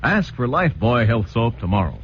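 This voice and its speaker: A man's voice: medium pitch, a little fast, very noisy. A male radio narrator and announcer giving scripted closing remarks and credits in a polished style. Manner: polished, lively announcer delivery. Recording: dull old radio broadcast over music.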